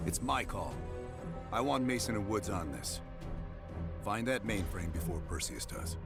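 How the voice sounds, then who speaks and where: A man's voice: on the low side, lively intonation, a little slow, gravelly, over loud music. A man playing a cold, commanding covert operations leader in a video game, authoritative and restrained. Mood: cold resolve. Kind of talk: cinematic video game acting.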